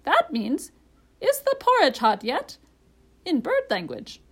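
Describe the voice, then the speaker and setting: Bossy female storybook parrot, played by a woman: high, swooping, proud and emphatic. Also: wildly swooping pitch, slow, full character voice. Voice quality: high-voiced. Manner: emphatic, instructive.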